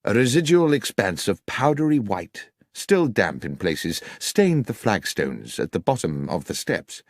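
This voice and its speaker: Expressive male audiobook narrator, dry sound. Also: lowish voice, measured pace, no echo. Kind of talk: scripted literary narration, first person.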